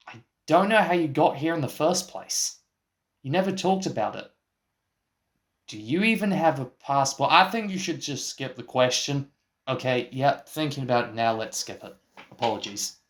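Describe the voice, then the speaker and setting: A man, speaking in a formal, measured, dry way as he presents a scripted interview. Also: mid-range voice. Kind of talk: scripted interview in a radio play.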